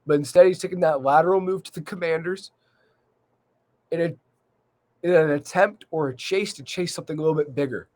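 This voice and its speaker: A man hosting a solo sports recap in a measured, conversational way with emphasis on key points, his voice slightly worn by a cold. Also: fairly high pitch, darkish tone. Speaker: sports podcast host.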